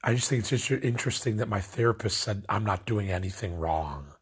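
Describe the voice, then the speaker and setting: A stoned young man from Illinois rambles through a late-night rant, circling back on himself and padding every thought with "like." His voice sits low and sounds heavily husky and breathy. He talks casually to camera as a vlogger. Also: fairly quick. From Illinois, USA. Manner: meandering, filler-heavy, lots of "like".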